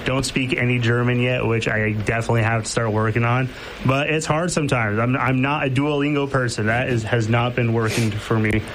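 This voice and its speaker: A man's voice: medium pitch, steady tone, fairly quick, fairly bright tone, slight background noise, low-quality recording. A man hosting a casual radio interview with students, easygoing and chatty with lots of fillers. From Mexico. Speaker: radio host.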